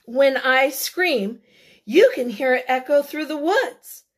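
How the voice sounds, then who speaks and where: A woman's voice: high-pitched, lively intonation, slow. A woman voicing a proud, dismissive male bobcat in a storybook, showy and emphatic. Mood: bragging.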